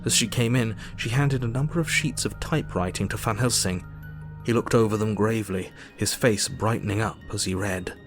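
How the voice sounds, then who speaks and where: An actor playing a sober Victorian doctor who narrates his diary in an audio drama, measured and formal. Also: lowish voice, over music, close to an everyday voice.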